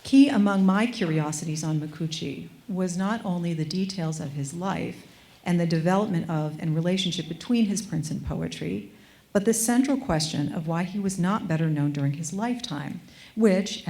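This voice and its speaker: A woman curator reading formal introductions of panelists at a museum event, measured and composed with an occasional filler. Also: fairly low pitch, mellow. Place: museum event space, before an audience.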